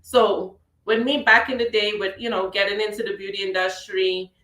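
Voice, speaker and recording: A chatty, playful woman with a Trinidadian accent hosts a casual radio talk show, her voice sitting at an ordinary middle pitch. The sound is roomy, as if she is talking over an online call from a reverberant room. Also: little pitch movement, moderate pace, a bit bright. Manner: chatty, playful, laughs easily.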